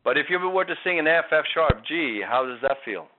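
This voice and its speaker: A man's voice: a little high, animated, brisk. A man giving a one-on-one singing lesson, explaining step by step in a patient way. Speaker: voice coach. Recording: tinny call line, faint hiss.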